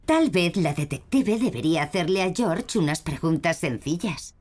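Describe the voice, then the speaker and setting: A woman voicing a gentle, caring mummy pig in a children's cartoon, with a soothing motherly manner and a Castilian Spanish accent. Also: fairly low pitch, big pitch swings, normal speed. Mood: reassuring.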